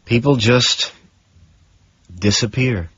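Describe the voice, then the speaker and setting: Man playing a husky, evasive Wall Street yuppie. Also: medium pitch, slow pace, a bit bright, no room sound, highs cut off, voice pushed up, heavily acted. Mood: resigned. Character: vain, vacant, evasive young Wall Street businessman. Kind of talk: audiobook character dialogue.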